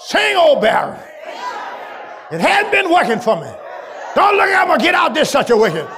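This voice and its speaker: A man preaching a sermon to his congregation, shouting and chanting in a call-and-response style. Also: extremely high pitch, huge pitch swings, moderate pace. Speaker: pastor. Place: large church. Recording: crowd and noise behind, loud. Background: congregation crowd.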